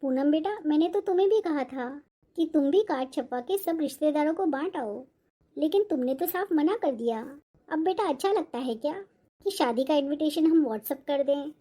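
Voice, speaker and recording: A woman's voice: high voice, lively intonation, normal speed, warm tone. A woman voicing a humble, worried middle-aged widowed mother in a Hindi cartoon moral story.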